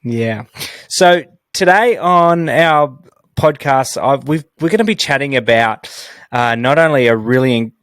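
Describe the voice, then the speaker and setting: A man's voice: mid-range voice, unhurried, a bit bright, dry recording. A man hosting a podcast interview, enthusiastic and full of restarts, speaking into a headset mic. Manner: enthusiastic, many restarts and fillers. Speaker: podcast host.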